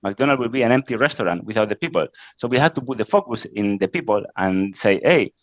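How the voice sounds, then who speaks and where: A middle-aged man from Spain with a Spanish accent, answering interview questions in halting English full of pauses and fillers. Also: fairly low pitch, expressive, no room sound, lo-fi sound. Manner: halting, frequent "uh" fillers, non-native grammar. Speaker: interview guest, creative director.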